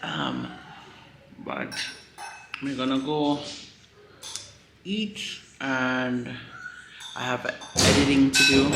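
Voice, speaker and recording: A Jamaican man chatting casually to camera in his home vlog, hoarse as he loses his voice. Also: mid-range voice. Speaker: vlogger. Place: family home in the countryside. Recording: echoey room with background noise.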